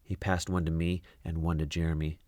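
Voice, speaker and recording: A man reads a storybook aloud for children. His voice sits fairly low and he narrates expressively. The sound is dry, with little room in it. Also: level tone, fairly quick, a little mellow, no echo. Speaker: storybook reader.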